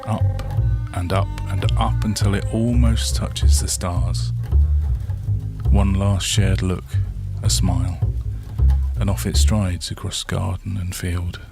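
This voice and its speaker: A man with a low voice narrates a story at a very slow pace. He speaks in short, measured phrases and leaves dramatic pauses. Prominent plucked guitar music plays behind him. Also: flat delivery, vocal fry. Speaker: storyteller, author reading own story. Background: soft plucked guitar soundtrack.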